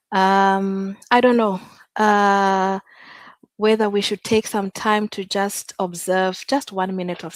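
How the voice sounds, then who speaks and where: A woman's voice: mid-range voice, no room sound. A middle-aged woman with a Zimbabwean accent hosting a casual live talk show, speaking hesitantly and repeating words for emphasis. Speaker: host.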